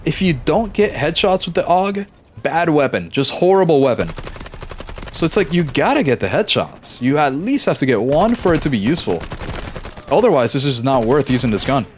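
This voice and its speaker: A young man live-streaming a shooter game, chatting casually with his viewers and reacting quickly during fights. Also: fairly high pitch, big pitch swings, slight background noise. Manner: easygoing, chatty, reads chat aloud, quick reactions mid-fight. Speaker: gaming streamer. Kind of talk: casual live-stream chat while playing.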